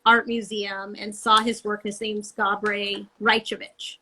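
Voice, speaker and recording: A woman's voice: mid-range voice, level tone, slow pace, sharp tone, poor audio. A middle-aged woman, a guest artist, chatting casually and animatedly over a video call, laughing often as she talks. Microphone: handheld mic on a video call.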